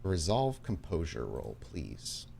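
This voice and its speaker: A man running a tabletop roleplaying game as game master, telling the story in a dramatic, deliberate way with pauses. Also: deep voice, huge pitch swings, gravelly, warm tone, slight background noise.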